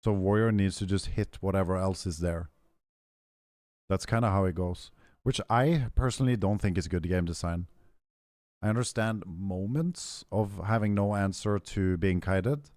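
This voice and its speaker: A young man with a deep, low voice talks to his stream viewers in a loose, rambling way. His throat is rough and hoarse, and he keeps clearing it. The sound is dry and close. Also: normal speed, a little mellow, dry recording. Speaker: game streamer. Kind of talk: casual stream chat, answering chat.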